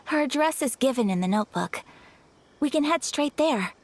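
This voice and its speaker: High-voiced, refined young noblewoman. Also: animated, slight background noise. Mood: polite, earnest. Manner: formal, courteous, measured phrasing. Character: refined young noblewoman, polite, gentle, dutiful, reserved. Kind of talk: anime video game acting. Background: background music.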